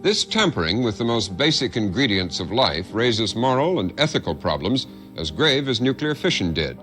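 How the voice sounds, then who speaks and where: A man's voice: lowish voice, big pitch swings, moderate pace, fairly bright tone, soft background music. A man reporting 1970s broadcast news in a grave, announcing manner.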